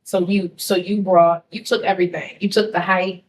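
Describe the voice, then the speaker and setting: A woman's voice: fairly low pitch, little pitch movement, moderate pace, slight background noise, rough recording. A woman hosting a casual interview, asking curious, playful questions. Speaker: host.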